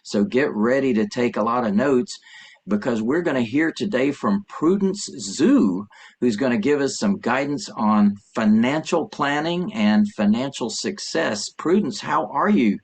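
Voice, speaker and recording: A man's voice: mid-range voice, lively intonation, unhurried, slightly rough. An older man hosting an interview podcast, upbeat and enthusiastic as he welcomes and questions his guest. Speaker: podcast host.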